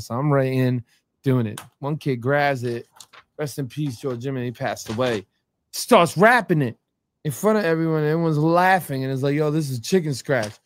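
A man hosting an interview, animated and speaking directly to the viewers. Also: fairly high pitch, no room sound. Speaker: interview host. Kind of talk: casual interview talk.

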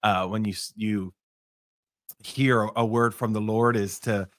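A man's voice: on the low side, steady tone, measured pace, no room sound, clean studio sound. A middle-aged man with a cold hosting a casual morning live stream, rambling with many restarts and fillers. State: congested with a cold.

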